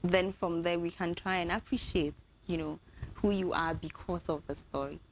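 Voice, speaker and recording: A woman's voice: lowish voice, steady tone, moderate pace, creaky, slight background noise, low-quality recording. A woman hosting a talk show interview, chatty and full of fillers as she leads into her questions. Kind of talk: casual interview chat.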